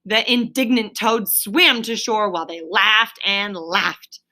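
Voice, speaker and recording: Female storyteller, bright and forceful, expressive, explanatory, reading aloud for a young audience. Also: medium pitch, slow pace. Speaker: reader, storyteller. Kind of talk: reading a children's story aloud, with asides to a listener.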